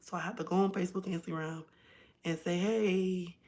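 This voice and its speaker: A hoarse woman hosting a casual livestream from home, chatting directly to her viewers. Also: lowish voice, fairly even intonation, fairly quick, darkish tone. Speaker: livestream host.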